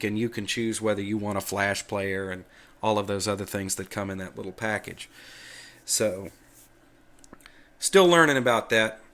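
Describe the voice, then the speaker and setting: A man's voice: on the low side, fairly bright tone. A man hosting a casual tutorial, explaining things off the cuff in an informal, plain-spoken, folksy way. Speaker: host of a Linux tutorial video.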